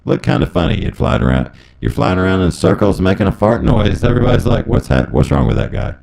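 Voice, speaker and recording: A man giving a rambling, deadpan comedy monologue through a voice transformer that makes him sound deep and gravelly. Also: quick pace. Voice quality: electronically deepened, gravelly. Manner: deadpan, absurd musing. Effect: voice transformer pitch shifter. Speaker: comedy performer.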